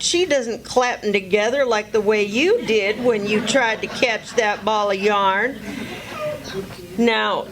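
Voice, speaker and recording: A woman acts a shrewd, motherly country housewife in a stage radio play. She has a highish voice and a slow, folksy drawl, with faint noise behind her. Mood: amused, kindly. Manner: folksy Southern rural dialect, drawn-out. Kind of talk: live radio play acting.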